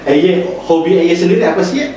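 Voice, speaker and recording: A man's voice: high-pitched, fairly quick. A man hosting an interview, prompting his guest in a friendly way with frequent affirmations and laughs. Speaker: interviewer host. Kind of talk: casual interview chat. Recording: roomy echo from far off, faint noise.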